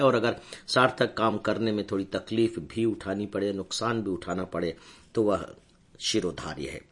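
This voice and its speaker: Older male educator, hoarse, lowish voice, unhurried motivational address. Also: fairly bright tone. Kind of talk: motivational talk to camera.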